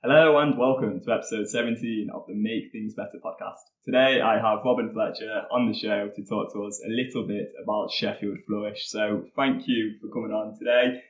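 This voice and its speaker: Low-voiced man hosting a podcast. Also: fairly even intonation, a little fast, hardly any pauses, lo-fi sound. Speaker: podcast host. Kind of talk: podcast interview. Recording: roomy echo with faint music.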